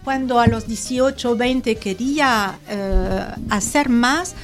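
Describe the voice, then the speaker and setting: A woman's voice: a little high, takes it slowly, soft background music. French-accented woman in her sixties, hesitant. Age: 60s. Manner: hesitant, many fillers and restarts. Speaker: interview guest. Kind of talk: casual conversational interview.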